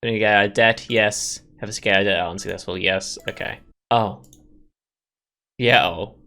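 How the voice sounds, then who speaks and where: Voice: low-pitched, steady tone, a little slow, pushed and bright. A gaming video host giving casual let's play commentary, thinking aloud and piecing things together along the way.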